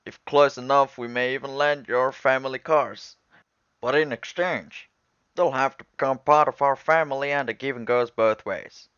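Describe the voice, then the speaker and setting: Guy doing an octopus-like alien commander, measured n formal mentor type, cartoon briefing, bit muffled, no room sound. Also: medium pitch, steady tone, fairly bright tone, smooth, no room sound, close to an everyday voice. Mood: instructive. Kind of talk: animated film dialogue.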